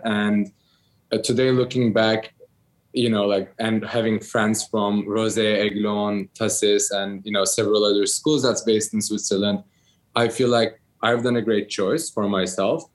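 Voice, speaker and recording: A man in his thirties from Turkey, answering interview questions casually with many ums and restarts. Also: on the low side. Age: 30s. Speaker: interview guest, entrepreneur.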